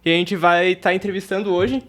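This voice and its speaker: A man's voice: high-pitched, steady tone, a little fast, a bit bright. Rambling young man, filler-heavy host. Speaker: podcast co-host, physics student. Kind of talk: casual podcast hosting.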